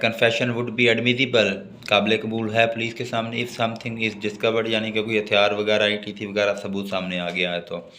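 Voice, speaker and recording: A man's voice: on the low side, sharp tone. A man with a Pakistani accent giving a law lecture, reading legal notes aloud in English and explaining them in Urdu. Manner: switches between slow English reading and quick Urdu explanation.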